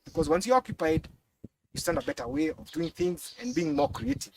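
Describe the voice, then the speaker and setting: A young adult man with a Kenyan accent explaining a farm demonstration on camera, haltingly and with repeated words. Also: high-pitched, sing-song, creaky. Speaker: fish pond and crop farmer. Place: outdoors on a farm beside ponds. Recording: distant mic, outdoor background noise.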